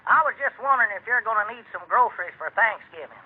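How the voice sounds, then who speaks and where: An actor playing a grumbling, gullible small-town sidekick with a rural Southern US accent in an old-time radio comedy. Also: male voice, sits high, expressive, fast, breathy edge. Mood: sly, chatty. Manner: folksy, querulous. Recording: noisy, tinny old radio recording.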